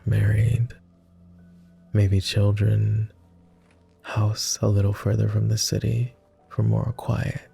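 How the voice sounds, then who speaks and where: A man voicing a gentle, devoted vampire, speaking softly and formally in an intimate roleplay ASMR style. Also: on the low side, heavily acted. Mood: wistful. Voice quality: soft, gravelly. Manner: posh, formal, tender. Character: gentle, teasing male vampire, devoted and wistful.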